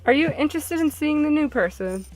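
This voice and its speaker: A woman's voice: high-pitched, animated, brisk, darkish tone, dry recording. A woman chatting casually as a co-player in a group game playthrough. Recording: music playing behind the voice.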